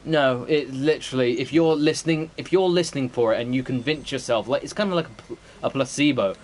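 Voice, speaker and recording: A man's voice: medium pitch, brisk, a little mellow, slight background noise. Dry, blunt young British man. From Britain. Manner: dry, blunt short retorts. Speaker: stream host. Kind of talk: casual gaming chat.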